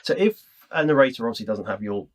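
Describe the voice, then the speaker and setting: A man's voice: medium pitch, lively intonation, fast talker. A man presenting a podcast interview, chatty and explanatory as he puts his questions in a conversational style. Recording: ambient room mic.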